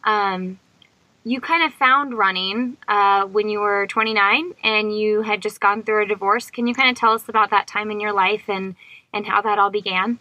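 A bright, crisp-edged woman host you would hear putting casual questions to a guest on a dry-sounding podcast. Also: mid-range voice, fairly even intonation, normal speed, dry recording, rough recording. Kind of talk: casual conversational interviewing.

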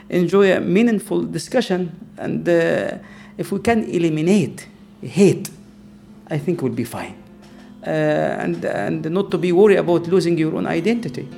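Gravelly imam, hesitant speech. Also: male voice, fairly high pitch, darkish tone. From Egypt. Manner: hesitant, restarts with many uhs. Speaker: imam and campus chaplain. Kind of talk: interview soundbite.

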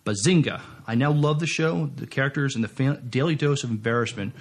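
A man's voice: mid-range voice, fairly quick. A man co-hosting a podcast, speaking casually and reading out listener messages. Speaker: podcast co-host.

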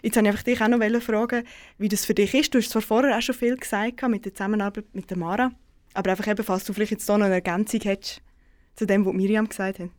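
A woman's voice: medium pitch, fairly even intonation, fast. A woman hosting a conversation, asking long, loosely phrased questions with many fillers. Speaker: podcast host. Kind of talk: conversational interview.

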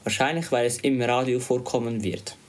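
Male teen reporter, average pitch, scripted school radio delivery. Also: moderate pace. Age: teenager. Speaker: student reporter.

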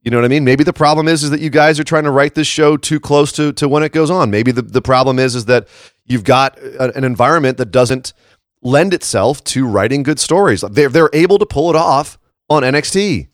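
A man hosts a casual talk podcast in a froggy, slightly hoarse voice. He makes his points emphatically and often checks in with tag questions. The sound is dry, with little room around him. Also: medium pitch, fairly quick, dry recording. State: tired froggy morning voice after a late shift. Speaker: podcast host. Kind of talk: casual wrestling commentary chat.

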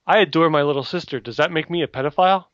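A man with a fairly high voice throws in quick, dry wisecracks during a casual comedy chat. The audio is muffled and lo-fi, recorded close and dry with no room echo. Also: fairly bright tone. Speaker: co-host.

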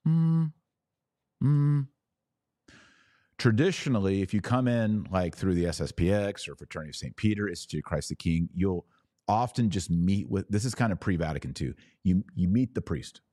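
A man with a low voice hosts a religious podcast in a very dry recording. He speaks informally and directly to his listeners. His delivery is conversational, with firm emphasis on his points. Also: expressive, normal speed, warm tone, dry recording. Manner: emphatic, conversational, acts out imagined dialogue.

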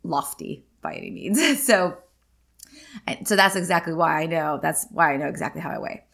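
A woman in her late forties hosts a solo podcast in an easy, confessional chat. Her voice sits a little low for a woman, and she talks openly, filling her sentences with ums and likes as she goes. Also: moderate pace, vocal fry, a bit bright. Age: 40s. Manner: chatty and candid, many ums and likes. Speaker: podcast host.

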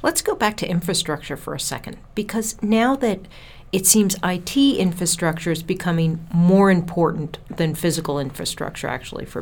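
A woman interviewing a guest, asking brief questions and prompting with short acknowledgments. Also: lowish voice.

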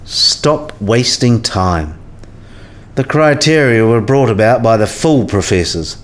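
A man's voice: medium pitch, lively intonation, takes it slowly. An actor playing a disillusioned but easygoing senior male professor, frank and world-weary, in a radio play. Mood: disillusioned, blunt.

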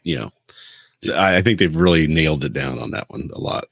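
A man's voice: deep voice, lively intonation, fairly quick, creaky, fairly bright tone, slightly rough, dry recording, clean studio sound. A man on a podcast panel talking casually about video games.

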